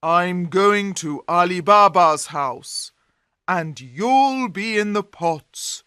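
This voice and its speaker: A man's voice: high voice, animated, extremely slow, sharp tone, clean tone, dry recording, clean studio sound, heavily acted. A man voicing an angry, scheming bandit chief in a commanding, menacing manner for a storybook. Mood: plotting, commanding.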